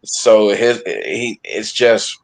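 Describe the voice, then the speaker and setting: Male sports podcast panelist, lower voice, casual and emphatic, stop-start phrasing with repeats. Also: sing-song, very slow, gravelly, rough recording.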